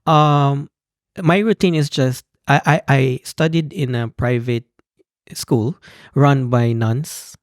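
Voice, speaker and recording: Gravelly Filipino man in interview. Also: mid-range voice, takes it slowly, no echo, clean studio sound. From Philippines. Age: young adult. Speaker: podcast guest, PhD student. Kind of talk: conversational interview.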